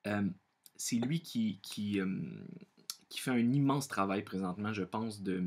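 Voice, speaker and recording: A man from Quebec with a Quebec French accent, speaking earnestly and off the cuff as a vlogger, often pausing and repeating himself as he searches for words. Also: lowish voice, expressive. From Quebec, Canada. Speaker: vlogger sharing personal opinions. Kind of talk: unscripted monologue to camera.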